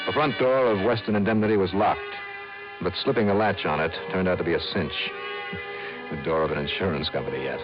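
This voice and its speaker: A man's voice: deep voice, lightly acted. An American man playing a tough, wry insurance investigator in an old-time radio detective drama. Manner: hard-boiled, assertive. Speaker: actor and first-person narrator of detective drama. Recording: muffled vintage radio recording, music behind.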